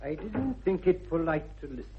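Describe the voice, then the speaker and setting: An actor playing a formal, deadpan Victorian manservant with an English accent, measured and deferential, in 1960s British radio comedy. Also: male voice, a little high, faint music behind. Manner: formal, measured, dryly solemn. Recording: dull old radio recording.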